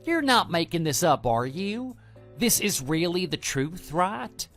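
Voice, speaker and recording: A man's voice: sits high, very animated, a little slow, a bit bright, pitched above the performer's own voice, full character voice. A man voicing a brash, evasive young former student in a scripted audio drama, with a flippant, defensive manner. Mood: relieved, flippant. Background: background music.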